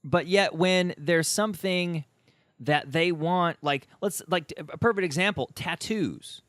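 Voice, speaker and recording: A man's voice: on the high side, a little slow, fairly bright tone, no room sound. A man from the United States hosting a conversational interview, speaking in an opinionated, emphatic way. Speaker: podcast host.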